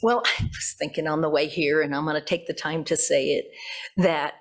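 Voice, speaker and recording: A woman's voice: low voice, fairly even intonation, normal speed. A woman pastor preaching from a pulpit in a conversational way, with chatty asides and self-corrections to the congregation. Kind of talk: sermon, conversational with scripted passages. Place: church pulpit.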